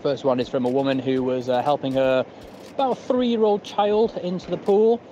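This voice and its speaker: Man reporting over clear outdoor noise. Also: medium pitch, moderate pace, dark tone, highs cut off. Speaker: podcast roving correspondent. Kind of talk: casual recorded field report. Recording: noisy outdoor voice message.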